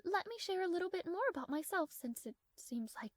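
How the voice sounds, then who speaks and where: High-pitched young princess, acted by a woman, proud, tsundere, formal and easily flustered, romantic fantasy roleplay, close dry sound. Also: animated, fairly quick, dry recording. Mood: gently bossy. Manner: haughty, formal phrasing, flustered hesitations. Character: proud young tsundere princess, strong-willed, secretly smitten.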